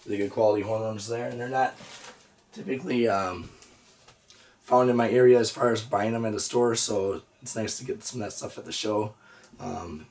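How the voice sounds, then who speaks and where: Hoarse man vlogging from his reptile room. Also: lowish voice, moderate pace, a little mellow, recorded from a distance. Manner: easygoing, lots of "uh" and "so". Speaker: reptile breeder, channel host. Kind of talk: casual show-and-tell vlog. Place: indoor reptile room with storage drawers.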